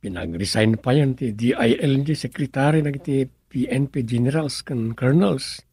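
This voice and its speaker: An actor playing a pensive story writer who mutters and muses to himself in a radio comedy drama. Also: male voice, medium pitch, expressive, moderate pace, gravelly, dark tone, slightly rough. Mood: pondering.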